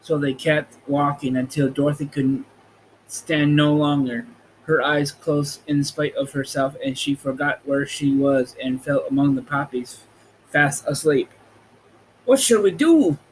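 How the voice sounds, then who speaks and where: A man reading a children's storybook aloud as a podcast host, in an amateur, slightly halting way. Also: a little high, little pitch movement, a little hiss or hum, rough recording.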